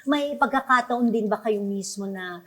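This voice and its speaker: A woman's voice: medium pitch, normal speed, a bit bright. A woman with a Filipino accent hosting an interview in a conversational style. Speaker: interview host.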